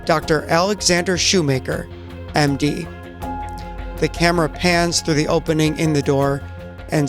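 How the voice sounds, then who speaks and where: A man reading cinematic scripted narration as a storyteller. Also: fairly high pitch. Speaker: narrator and player.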